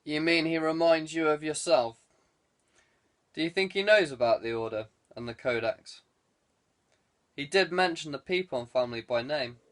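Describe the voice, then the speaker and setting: A man's voice: fairly high pitch, moderate pace, long pauses, a bit bright, close to an everyday voice. A man voicing a blunt, dejected male conspirator who grumbles, in an audiobook. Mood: wary. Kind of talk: audiobook character dialogue.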